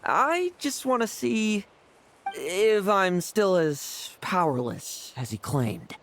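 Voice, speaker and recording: A man's voice: high voice, very animated, slow, noisy background, heavily acted. An actor playing a cocky, taunting young male knight in an anime-style game. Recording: music behind the voice.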